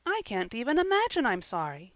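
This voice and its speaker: A woman's voice: a little high, huge pitch swings, fairly quick, no echo, pitched above the performer's own voice. A woman voicing a passionate, stubborn young orphan girl in an audiobook, with dramatic, pleading delivery. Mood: mournful, stubborn. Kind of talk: audiobook character voice.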